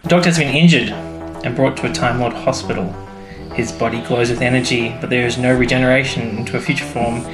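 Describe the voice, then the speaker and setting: A man's voice: mid-range voice, fairly even intonation, fast talker. A man co-hosting a casual podcast as the resident fan, chatty and enthusiastic, speaking in loose, informal banter. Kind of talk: casual banter about a sci-fi series. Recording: roomy echo, faint music behind.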